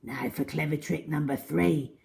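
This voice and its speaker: A woman's voice: low voice, steady tone, measured pace, creaky, a little mellow, a little hiss or hum, voice pushed down, exaggerated voice. Woman putting on a sly, greedy crocodile. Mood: sly scheming. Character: huge greedy scheming male crocodile, hungry for children.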